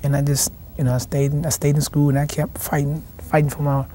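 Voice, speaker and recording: Fast-talking young man, halting. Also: on the high side, little pitch movement, mellow. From South Carolina, raised in Washington, D.C. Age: young adult. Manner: halting, repeats and restarts words. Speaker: boxing champion guest. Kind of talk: casual TV interview answers. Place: TV studio set.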